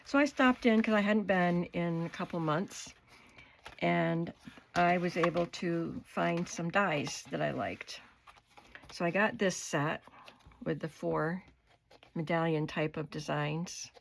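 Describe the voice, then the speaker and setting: An older woman with a cold and a hoarse voice, chatting unhurriedly as she shows her craft supplies in her home studio. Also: on the low side, slight background noise, rough recording. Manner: chatty, unhurried, describing items up close. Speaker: craft vlogger. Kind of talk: casual show-and-tell haul.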